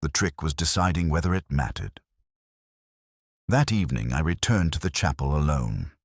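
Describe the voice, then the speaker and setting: Man, extremely deep pitch, dry studio sound, brooding, measured, literary detective-story narration. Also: lively intonation, no echo. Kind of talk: scripted first-person detective audio drama narration.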